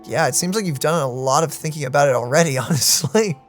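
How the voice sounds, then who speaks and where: A video game streamer giving casual, informal and expressive commentary as co-host. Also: medium pitch, fast talker. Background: game music.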